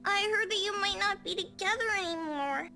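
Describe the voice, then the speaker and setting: A man voicing a curious, excitable little girl who rushes her questions, with faint game music behind. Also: very high voice, vocal fry, pitched above the performer's own voice, exaggerated voice. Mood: worried. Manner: chirpy, breathless, rushing questions.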